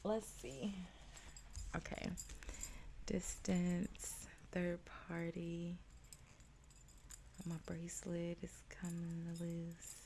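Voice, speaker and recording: A woman giving a casual tarot reading, stuffed up with a cold, talking in a chatty, halting way with many ums. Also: lowish voice, flat delivery, gravelly, warm tone, slight background noise. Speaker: tarot reader.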